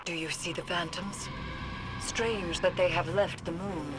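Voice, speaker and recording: A woman plays a grave female mystic in a video game, guiding a soldier through danger. Her delivery is formal, deliberate and cryptic, with a haunted solemnity. Loud music plays under the voice. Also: fairly low pitch, fairly even intonation, natural voice. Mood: ominous, solemn. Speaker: mission guide. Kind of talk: dramatic video game acting.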